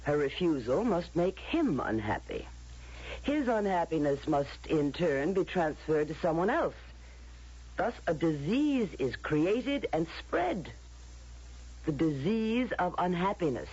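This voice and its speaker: An actress playing a coldly patronizing woman of authority in a radio drama, deliberate and probing, on a muffled old broadcast. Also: fairly low pitch, sing-song, a little mellow, breathy edge, full character voice. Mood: grave, menacing. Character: coldly patronizing female authority of a future society.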